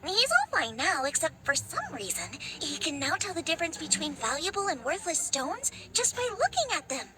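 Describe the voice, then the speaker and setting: A woman's voice: extremely high pitch, wildly swooping pitch, normal speed, hardly any pauses, exaggerated voice. A woman playing a bubbly, earnest teenage girl in a video game, chatty, perky and friendly. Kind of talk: animated video game voice acting. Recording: loud game music behind the voice. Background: game music.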